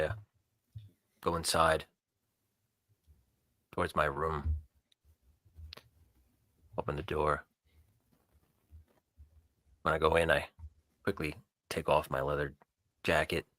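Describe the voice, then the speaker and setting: A man with a very deep, forceful voice narrates his own actions in a tabletop game. He goes in short, halting fragments, improvising as he goes, with a dry, close sound. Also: no room sound. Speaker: tabletop roleplay player. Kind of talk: improvised first-person scene narration.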